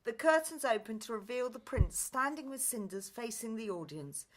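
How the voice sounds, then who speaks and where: A woman's voice: fairly high pitch, expressive, moderate pace. A woman reading scripted narration and stage directions for a pantomime radio play.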